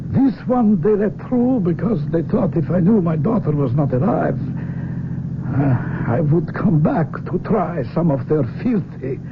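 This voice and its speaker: High-voiced, weary old Russian doctor. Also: male voice, animated. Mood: grave, bitter. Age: older. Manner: formal, deliberate phrasing, searching for words. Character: aging Russian research doctor, weary, bitter, proud exiled father. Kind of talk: 1970s radio drama acting. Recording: noisy, narrow-band old radio recording.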